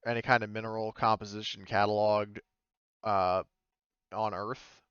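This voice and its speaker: A man's voice: fairly low pitch, flat delivery, normal speed, fairly bright tone, clear, resonant tone, no echo, highs cut off. A man working as game master, improvising narration for a tabletop roleplaying game and rephrasing as he explains, tentatively.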